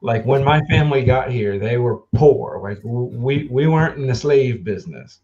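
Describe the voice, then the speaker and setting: A man in his forties from the Mid-Atlantic US, hosting a casual livestream chat, easygoing and quick to laugh, with lots of fillers. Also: mid-range voice, animated, darkish tone, highs cut off. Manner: chatty, laughs easily, trails off with fillers. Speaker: livestream host.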